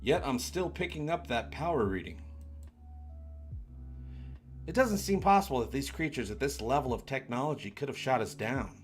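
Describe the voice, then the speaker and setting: A man voicing a calm, practical starship officer in a science fiction read-along. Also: mid-range voice, moderate pace, pauses often, soft background music, lightly acted. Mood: serious, analytical.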